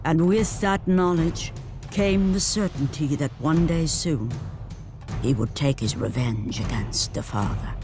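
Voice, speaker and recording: An actor playing a grave storyteller of ancient myth, narrating a video game with solemn, portentous weight and pauses. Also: male voice, on the high side. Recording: music behind the voice.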